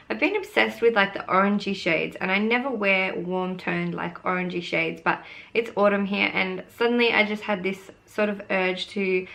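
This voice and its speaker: A young woman talks chattily to camera, showing off makeup she loves. Her voice is worn and hoarse, with a lot of gravelly fry at the ends of phrases. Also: mid-range voice, a bit bright. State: losing her voice. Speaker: beauty vlogger. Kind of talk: casual product review vlog.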